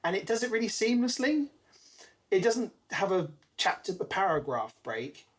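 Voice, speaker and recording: A British man co-hosting a podcast, discussing a book casually in an animated storytelling way. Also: high voice, normal speed. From Britain. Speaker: podcast co-host.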